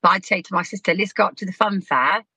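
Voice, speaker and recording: Brief: woman, 50s, podcast guest, laughs through her stories, bright tone. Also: medium pitch, fast talker, breathy edge, no echo. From Southampton, England. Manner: chatty, frank, laughs while telling stories. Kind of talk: casual storytelling chat.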